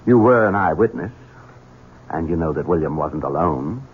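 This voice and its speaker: An actor playing a young, cruel, scheming heir who speaks in a cold, menacing, insinuating way, in a radio drama. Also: male voice, rumbling low, expressive, moderate pace, slightly rough, full character voice. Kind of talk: 1970s radio drama acting. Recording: tinny lo-fi radio broadcast.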